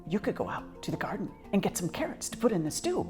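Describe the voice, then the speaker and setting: Little trickster rabbit woman acting it out: high, sing-song, sweetly agreeable, innocent-sounding, for a picture-book tale. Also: wildly swooping pitch, fast talker, a little mellow, faint music behind. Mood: slyly helpful.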